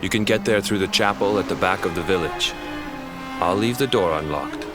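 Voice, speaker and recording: Man playing a low-voiced young island fisherman. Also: moderate pace, close to an everyday voice. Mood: terse, resolute. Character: young island fisherman, bitter and protective of his family. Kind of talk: video game cutscene acting. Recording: music behind the voice.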